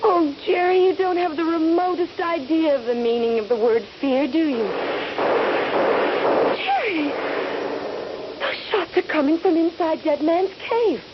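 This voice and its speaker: An actress playing a soldier's loving, anxious young wife in a vintage radio drama. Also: female voice, extremely high pitch, animated, measured pace, long pauses. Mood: alarmed. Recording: dull, noisy vintage radio recording.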